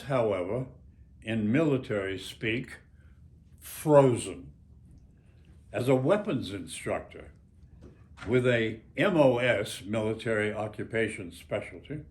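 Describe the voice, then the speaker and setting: Slow-spoken American man in his nineties. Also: medium pitch. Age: 90s. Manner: halting, deliberate, pausing between short phrases. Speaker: author reading own work. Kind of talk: reading an author's note aloud to a small gathering.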